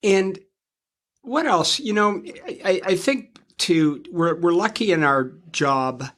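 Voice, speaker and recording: A man in his fifties with a fairly high voice for a man talks money matters in an easy conversational way. He is measured and thoughtful, often stopping to rephrase before going on. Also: expressive, a bit bright. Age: 50s. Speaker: financial advisor co-host. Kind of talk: conversational interview.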